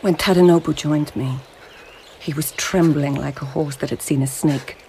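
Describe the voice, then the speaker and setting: Grave court noblewoman with a creaky edge. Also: deep voice, steady tone, normal speed, warm tone, a little hiss or hum. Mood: intent, recounting. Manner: serious, firm. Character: grave, sharp-tongued noblewoman investigator of the Japanese imperial court. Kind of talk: radio drama acting and narration.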